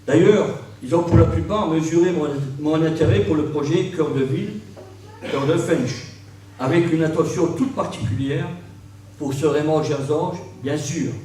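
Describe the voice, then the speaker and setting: A man, a local politician, delivering a formal, measured New Year address from a prepared text. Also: on the high side, mellow. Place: large reverberant room at a public ceremony. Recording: very echoey hall, distant mic, faint noise.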